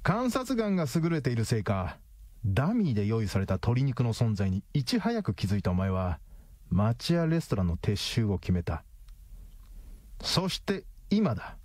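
Radio drama detective: medium pitch, very animated, cocky and theatrical, close dry recording. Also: male voice, normal speed, dry recording. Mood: smug. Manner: smug, explanatory, theatrical. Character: cocky, calculating male detective, coolly triumphant.

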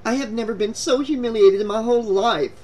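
A man's voice: very high voice, expressive, a little fast, fairly bright tone, voice pushed up, poor audio. A man voicing a scared, exasperated young woman in a story, whining and shrieking. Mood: humiliated.

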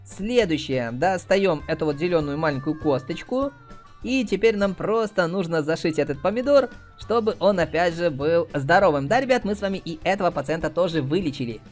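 A man with a high voice gives casual, chatty commentary as he plays mobile games. He talks straight to his viewers as their host. Light music plays behind his voice. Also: animated, a little fast. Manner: chatty, uses cute diminutives. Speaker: host of mobile game playthroughs.

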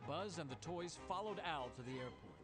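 A man's voice: fairly high pitch, expressive, normal speed. A man reading a children's story as a dramatic narrator. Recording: music under the voice. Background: loud music.

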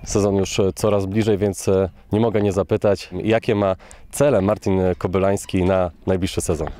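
Man, interviewer, deep mellow voice, dry close sound, prepared questions with hesitations. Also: flat delivery, moderate pace, dry recording. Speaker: club media interviewer.

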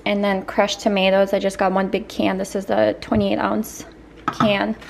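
A woman's voice: mid-range voice, slight background noise. Young woman casually talking through a recipe. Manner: relaxed, with fillers like um and like. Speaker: lifestyle vlogger, stay-at-home mom. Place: home kitchen, indoors.

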